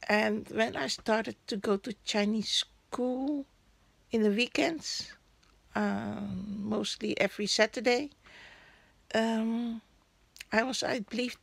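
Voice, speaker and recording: A woman vlogging casually about a newspaper, speaking English as a Hakka and Cantonese native, often pausing to search for words. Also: on the high side, vocal fry, dry recording. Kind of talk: casual chat. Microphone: phone camera held over a newspaper.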